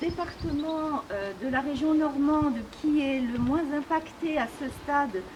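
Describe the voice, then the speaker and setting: A woman with a high voice speaks in an unscripted official briefing. Her phrasing is formal and halting, with frequent hesitation, and there is clear noise on the recording. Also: a little mellow. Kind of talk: spoken press briefing, unscripted. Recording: clearly noisy on-location recording.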